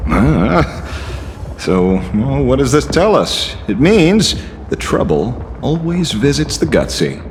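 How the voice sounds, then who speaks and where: An actor playing a cocky, gutsy adventurer in a video game, with theatrical swagger and bravado. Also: male voice, mid-range voice, sing-song, slow, slight background noise. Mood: jaunty bravado. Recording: loud music behind.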